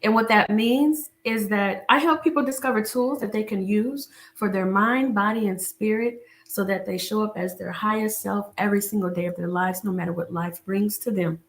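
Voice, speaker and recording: A woman relationship coach chatting casually to a live audience and reading from her book, her voice a little raspy from a cough, with many restarts. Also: medium pitch, moderate pace, hardly any pauses, echoey room.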